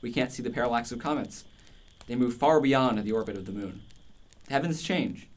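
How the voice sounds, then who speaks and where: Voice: medium pitch, a little fast, natural voice. An actor playing a passionate, contrarian older philosopher in a radio play, declamatory and emphatic. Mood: fervent.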